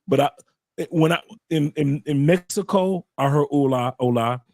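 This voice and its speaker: A man's voice: a little high, moderate pace, a little mellow, dry recording, clean studio sound. A man, a guest on an online show, talking casually and animatedly, repeating phrases and restarting sentences as he tells stories. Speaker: guest, YouTube channel creator and author. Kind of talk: casual conversational interview. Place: home in Colombia.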